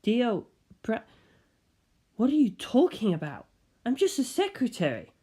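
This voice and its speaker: A woman voicing a fastidious male secretary, a villain who protests with feigned bewilderment in an audiobook story. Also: a little high, huge pitch swings, warm tone, husky, voice pushed up, heavily acted. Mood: feigned ignorance.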